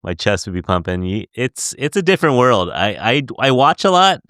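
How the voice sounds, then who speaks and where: Giggly chatty man hosting, smooth voice. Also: mid-range voice, big pitch swings, moderate pace, fairly bright tone, dry recording, clean studio sound. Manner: chatty, giggly, rambling questions. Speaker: podcast host. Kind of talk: casual interview.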